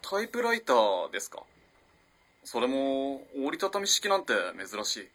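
A man's voice: mid-range voice, wildly swooping pitch, normal speed, a bit bright. A man playing a curious, polite young student in a radio drama. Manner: polite, inquisitive.

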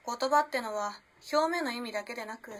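An actress playing a composed, enigmatic young modern woman in a period Japanese radio play, speaking in a cool, measured, teasing way. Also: a little high, fairly bright tone. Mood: coolly approving. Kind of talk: dramatic radio play acting. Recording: clear background noise and ambience.

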